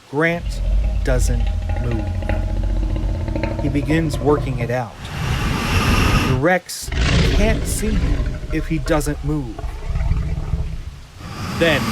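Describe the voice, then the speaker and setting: A man narrating an audio drama in a suspenseful, deliberate, scripted style. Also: on the high side, very noisy. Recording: loud dramatic music under narration.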